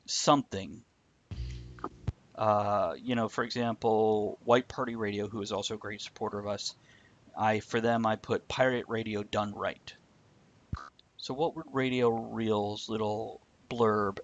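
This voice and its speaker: A man's voice: fairly low pitch, a bit bright. A man hosting a casual live talk show in a joking, irreverent, teasing manner, with some mild swearing. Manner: irreverent, teasing, mildly profane. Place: live internet stream. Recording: dull lo-fi audio, slight noise.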